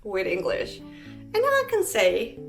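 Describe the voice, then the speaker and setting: A woman's voice: medium pitch, huge pitch swings, slow pace, creaky, faint music behind. A young woman from Ecuador with an Ecuadorian Spanish accent, telling a rambling casual storytime vlog full of fillers and restarts. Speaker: vlogger.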